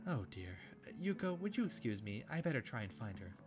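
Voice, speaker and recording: A man voicing a polite, caring teenage schoolgirl in gentle phrasing. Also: medium pitch, sing-song, fairly quick, over music, close to an everyday voice. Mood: concerned.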